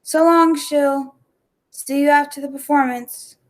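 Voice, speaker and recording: High female actress as a vintage radio circus star, indignant and haughty. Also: fairly even intonation, a little slow. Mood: dismissive. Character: indignant young woman circus star with a dancing elephant. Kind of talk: 1940s radio acting.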